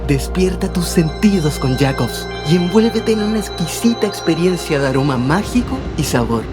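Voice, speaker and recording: Woman, deep pitch, scripted commercial voice-over, clear background music. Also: expressive, normal speed. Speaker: advertising voice-over. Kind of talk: scripted coffee commercial read.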